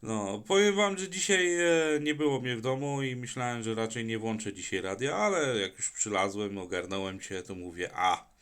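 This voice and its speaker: A man's voice: medium pitch, expressive, moderate pace, fairly bright tone, clear, resonant tone. A man talking casually as a CB radio operator, with a colloquial, plain-spoken manner. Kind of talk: casual chat in turns on CB radio.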